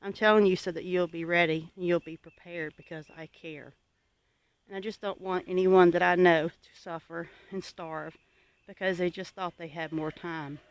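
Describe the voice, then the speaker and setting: A homesteading channel host gives viewers advice in an earnest, exhorting and deliberate way. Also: mid-range voice, steady tone, smooth, dry recording, poor audio.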